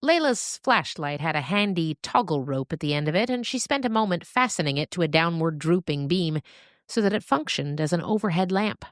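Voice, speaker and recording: Woman, fiction narrator, low pitch, dry close sound, expressive storytelling, deliberate dramatic pauses. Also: no echo.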